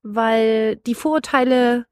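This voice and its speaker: Earnest young woman, slightly high voice. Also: takes it slowly. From Dresden area, eastern Germany. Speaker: podcast co-host. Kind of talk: casual podcast discussion.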